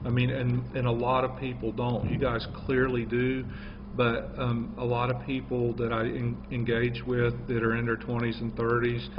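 A man's voice: medium pitch, completely flat delivery, moderate pace. A middle-aged man interviewing cafe members, conversational and repeating points for emphasis. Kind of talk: casual interview talk. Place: small cafe indoors. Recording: reverberant, dull, noisy.

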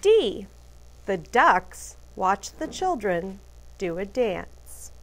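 A woman teacher reading a picture book aloud to children, deliberately emphasizing letters and phrases. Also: medium pitch, sing-song.

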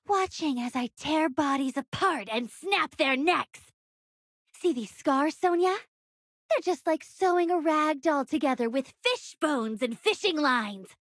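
A woman's voice: high voice, expressive, measured pace, no room sound, studio quality. A woman voicing an unhinged, vengeful, sadistic pirate younger sister in a video game, with a taunting, manic, theatrical delivery. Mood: taunting menace.

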